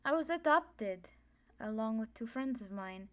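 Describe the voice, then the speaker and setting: Friendly young student mage, higher female voice, acted. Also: lively intonation, measured pace. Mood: friendly, chatty. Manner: chatty, forthright, self-assured. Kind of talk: ASMR roleplay, casual introduction.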